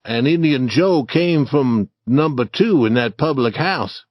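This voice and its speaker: A man's voice: mid-range voice, animated, measured pace, no room sound, dull audio. A man reading a story, voicing a nervous, superstitious young boy who hesitates and stammers. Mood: wary.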